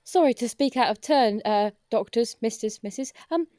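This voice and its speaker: A woman's voice: fairly high pitch, moderate pace, dark tone, no echo, studio quality, natural voice. A woman playing a timid, deferential hospital porter with a shady past in a comic audio drama, stammering politely. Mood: hesitant, polite.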